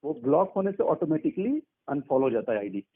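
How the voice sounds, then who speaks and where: Fast-talking man on a tinny phone. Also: fairly high pitch, big pitch swings. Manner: informal, familiar, reassuring. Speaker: artist's manager, interviewee. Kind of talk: casual chat on a phone call. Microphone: telephone. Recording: tinny phone call recording.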